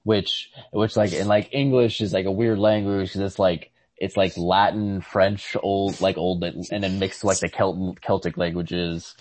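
A man co-hosts a casual literary discussion, phrasing his thoughts in a halting, tentative way. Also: low-pitched, little pitch movement, darkish tone. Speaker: podcast co-host.

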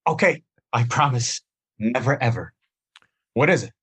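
A man voices a young Broadway chorus dancer in an audio play, stranded in the city. He speaks earnestly and pleads at a medium pitch, sounding sincere and eager to please. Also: expressive, normal speed, a bit bright, slightly rough. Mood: grateful. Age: young adult.

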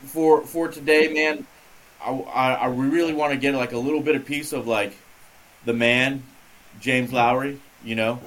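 A man's voice: mid-range voice, steady tone, a little slow, a bit bright, low-quality recording. A man hosting a podcast interview, talking in a laid-back, rambling way full of fillers. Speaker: podcast host. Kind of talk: casual interview chat.

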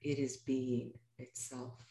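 A woman leading a guided meditation as a yoga nidra teacher, speaking calmly in measured, unhurried phrases with pauses. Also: extremely low pitch, reverberant.

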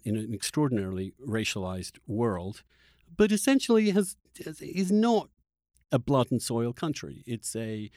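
A man hosting a conversational interview, thinking aloud in a halting, opinionated way. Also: medium pitch, no echo, studio quality. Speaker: interviewer, podcast host.

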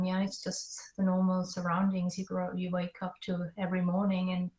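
A Swiss-accented woman talks through her memories as a podcast guest, slow to find her words and restarting often. Her voice sits at a middle pitch. The room around her gives an echoey sound. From Switzerland. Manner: thoughtful, hesitant, with frequent restarts. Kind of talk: interview conversation.

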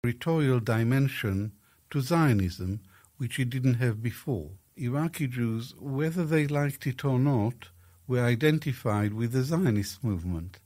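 An older man from Iraq, speaking slowly and deliberately in an interview clip, with frequent pauses. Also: medium pitch, expressive, a little mellow.

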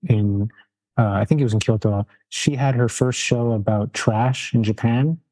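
A man's voice: medium pitch, fairly even intonation, moderate pace, warm tone, poor audio. A man hosting a casual interview, talking in long rambling sentences with restarts. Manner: rambling, many restarts and trailing phrases. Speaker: interview host.

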